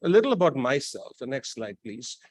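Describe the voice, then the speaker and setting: A man's voice: mid-range voice, sing-song, a little fast. A man from Tanzania giving a conversational conference talk, with light jokes and frequent restarts. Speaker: conference speaker. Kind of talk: conference presentation. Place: conference hall.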